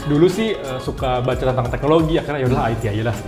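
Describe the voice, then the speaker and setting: A man's voice: medium pitch, expressive, racing. A man giving casual interview answers, informal and dropping in English words. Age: 20s. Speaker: guest, startup founder. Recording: roomy echo with music behind.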